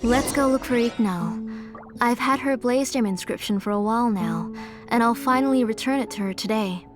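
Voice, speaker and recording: Woman as a caring mother, fairly high voice. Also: music in the background, close to an everyday voice. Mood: caring, practical. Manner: measured, solicitous. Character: caring, cautious, anxious mother of a sickly child. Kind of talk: video game voice acting.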